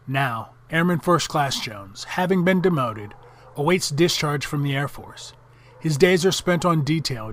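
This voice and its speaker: Higher-pitched male narrator, dry sound. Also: fairly bright tone, no echo. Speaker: documentary narrator. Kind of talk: scripted narration for a military training film.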